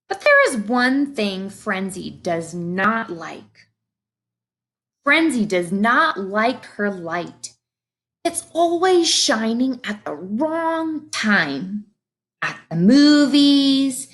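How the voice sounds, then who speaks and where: A woman reading a children's story aloud in expressive narration. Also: medium pitch. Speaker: storybook reader.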